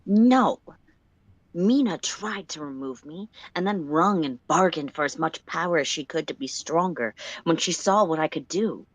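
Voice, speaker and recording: A woman voicing a power-hungry living crown that invades minds, speaking in a disdainful, hissing, drawn-out way. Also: sits low, expressive, no room sound, poor audio. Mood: demanding, hungry.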